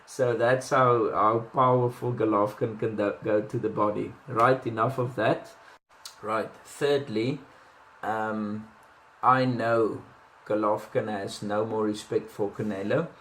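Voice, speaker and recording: A man's voice: lowish voice, fairly even intonation, slow pace, fairly bright tone, reverberant, slight background noise, low-quality recording. A man with a South African accent giving casual boxing commentary, chatty, with many ums and restarts and self-deprecating asides.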